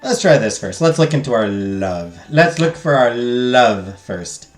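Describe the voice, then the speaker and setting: A man streaming a visual novel, loudly reading the game text aloud and chatting with his viewers. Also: mid-range voice, lively intonation, measured pace. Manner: loud, talks loudly. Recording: roomy echo, faint game music.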